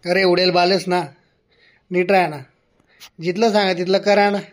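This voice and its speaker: Man as a high-pitched, scolding village schoolmaster. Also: flat delivery, normal speed, slight background noise, lightly acted. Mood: sarcastic scolding. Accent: Khandeshi Ahirani. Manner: sarcastic, scolding, bossy. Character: stern, sarcastic village schoolmaster scolding his class. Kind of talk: comedy cartoon dialogue.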